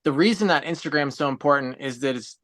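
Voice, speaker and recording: Young man in his twenties, earnest, long explanations, mid pitch, dry close sound. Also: quick pace, a bit bright, no room sound. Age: 20s. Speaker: guest on a livestream. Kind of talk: casual video-call chat.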